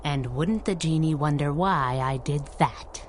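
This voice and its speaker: A woman's voice: sits low, unhurried, a little hiss or hum. A woman playing a cocky, taunting superheroine, wisecracking in a 1930s-style radio drama. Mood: teasing, menacing. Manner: teasing, wisecracking, 1930s slang.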